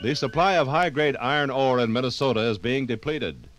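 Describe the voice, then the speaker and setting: Formal male 1950s radio narrator. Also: medium pitch. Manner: formal, measured announcer delivery. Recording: 1950s radio LP, faint music.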